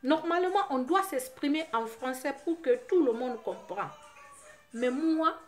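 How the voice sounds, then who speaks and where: Emphatic high-voiced Guinean woman vlogging. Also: lively intonation, a little slow. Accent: Guinean French. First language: Susu. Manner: emphatic, rising often to loud forceful outbursts. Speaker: vlogger addressing her fans. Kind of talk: impassioned monologue to camera.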